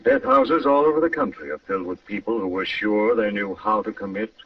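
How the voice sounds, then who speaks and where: A man acting a shrewd, composed gentleman detective in an old radio drama. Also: on the low side, lively intonation, a little fast. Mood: explanatory, assured. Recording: muffled old radio recording, distant and roomy.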